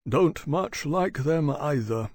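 A man voicing a big, unenthusiastic male dragon with a slow, grumbling drawl in an audiobook. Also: a little high, expressive, darkish tone, slightly rough, dry recording, studio quality, voice pushed up, exaggerated voice.

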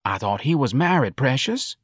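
A man's voice: mid-range voice, big pitch swings, normal speed, breathy edge, no room sound, studio quality, voice pushed up. A man voicing a sympathetic, chatty bakery saleswoman in an audiobook novel.

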